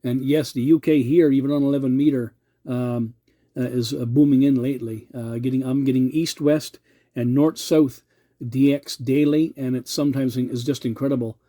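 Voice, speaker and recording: Middle-aged man from Canada, very mellow dark tone, little pitch variation, casual rambling radio chat. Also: steady tone, moderate pace. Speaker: CB radio hobbyist.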